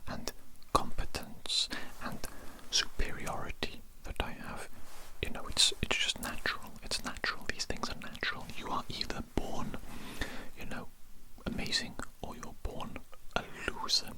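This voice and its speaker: Breathy whispery asmr roleplay voice, pompous fussy alien doctor, repeats little phrases, no real voicing. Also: low-quality recording. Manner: slow, repetitive, self-important, politely fussy.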